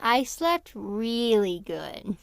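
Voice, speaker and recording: High, clear-voiced innocent little girl, acted by a woman. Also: big pitch swings, drawn-out words, no room sound, clean studio sound, full character voice. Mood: innocent, sleepy. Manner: simple, childlike, innocent. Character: sweet, sleepy young daughter in a horror drama. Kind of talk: audio drama acting.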